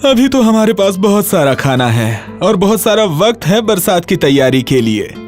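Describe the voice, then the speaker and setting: An actor playing a carefree, mocking male grasshopper in a children's animated story, speaking in a drawn-out theatrical way. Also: medium pitch, close to an everyday voice. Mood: dismissive, carefree. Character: carefree, mocking male grasshopper who loves singing and dancing. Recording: music behind the voice. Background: music.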